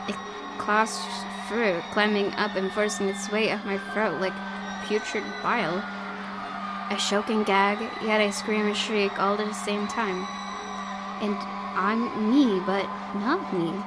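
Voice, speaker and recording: A woman with an expressive, excitable delivery, reading a horror visual novel aloud as a let's play host and reacting to it. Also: mid-range voice, takes it slowly, low-quality recording. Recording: loud game music behind voice. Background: loud game music.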